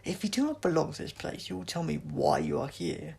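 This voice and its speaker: A harsh, threatening alien warrior, performed by a male reader for a storybook. The voice is raised well above plain narration, slow and commanding, with gravel in it. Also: expressive, a little mellow, full character voice. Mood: angry command. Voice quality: deep, harsh. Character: menacing alien soldier monster, commanding and threatening.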